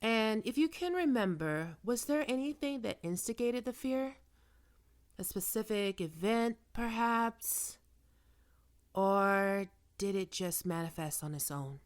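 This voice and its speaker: Female psychiatrist, played by a woman, ASMR roleplay. Medium pitch, measured clinical delivery, probing and quietly controlling. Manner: measured clinical questioning with deliberate pauses. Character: female psychiatrist, probing, smoothly manipulative and coercive. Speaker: voice actor performing a roleplay.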